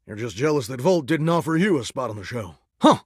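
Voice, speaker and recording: An actor playing a vain, folksy singing shower in a video game, with a theatrical Southern drawl and country sayings. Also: male voice, medium pitch, animated, a little fast, husky, no room sound, clean studio sound. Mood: cocky, testy. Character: flamboyant, folksy singing shower, vain would-be showbiz star. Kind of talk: animated video game character acting with bursts of song.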